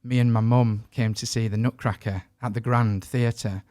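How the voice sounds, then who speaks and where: Northern english bloke hosting a podcast, mid pitch, dry close sound, chatty with lots of ums. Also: male voice, fairly even intonation, moderate pace, mellow, no echo. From northern England. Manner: chatty, self-deprecating, many ums. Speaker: podcast host. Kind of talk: podcast interview.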